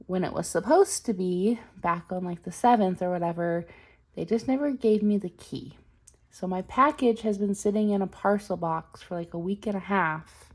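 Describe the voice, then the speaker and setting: A chatty young woman vlogging, her voice a little strained as she gets over a cold. She rambles along with plenty of ums, pitched a bit low. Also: vocal fry, darkish tone. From Utah. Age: 20s. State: recovering from a cold, slightly strained voice. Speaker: planner vlogger. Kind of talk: casual storytelling vlog.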